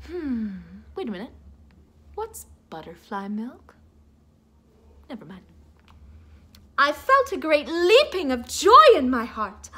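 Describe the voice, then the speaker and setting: A woman's voice: high-pitched, sharp tone. A woman reading a children's story aloud like a teacher, theatrical and playful, coaxing her listeners to join in.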